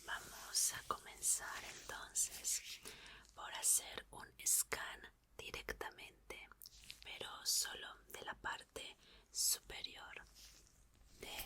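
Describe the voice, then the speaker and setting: A woman playing a calm, caring young scientist who repairs an android, in a slow, soothing, breathy ASMR whisper. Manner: slow, soothing, repeating words softly. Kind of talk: whispered Spanish ASMR roleplay.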